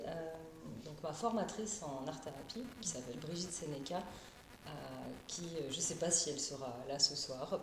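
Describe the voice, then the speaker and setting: A woman's voice: lowish voice, darkish tone, roomy sound, slight background noise. A woman from Paris, an art therapist, answering questions in a live interview, shy and hesitant, with many fillers and restarts. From Paris, France. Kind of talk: live-stream interview, casual.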